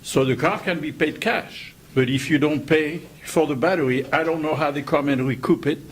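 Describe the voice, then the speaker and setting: A man's voice: fairly high pitch, moderate pace, a little hiss or hum. An older man with a French accent, introducing himself at a group meeting in a joking way and laughing often. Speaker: meeting attendee. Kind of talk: casual self-introduction.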